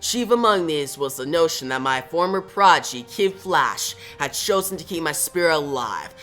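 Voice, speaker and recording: Man playing a bitter, forceful superhero turned villain. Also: a little high, lively intonation, normal speed, faint music behind. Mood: solemn, smug. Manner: taunting, grandiose.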